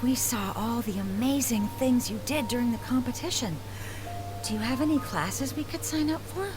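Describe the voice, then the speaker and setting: A woman's voice: fairly high pitch, moderate pace, music in the background, lightly acted. A woman voicing a polite, admiring young female student, earnest and respectful, in an anime game.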